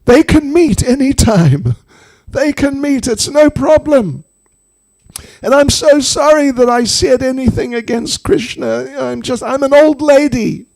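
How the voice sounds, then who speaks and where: Casting: male storyteller as a cranky, pleading elderly woman, pitched way up, shrill and overwrought. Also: wildly swooping pitch, a little slow, dark tone, breathy edge, exaggerated voice, poor audio. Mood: apologetic, eager. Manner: shrill, imploring, insistent repetition. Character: cranky, frantic old mother-in-law, pleading woman.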